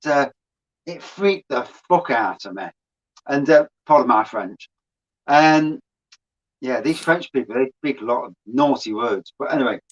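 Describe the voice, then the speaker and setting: A man's voice: mid-range voice, fairly bright tone, dull audio. A man, a beer reviewer, chatting casually as a guest on a stream, swearing freely and laughing as he tells stories.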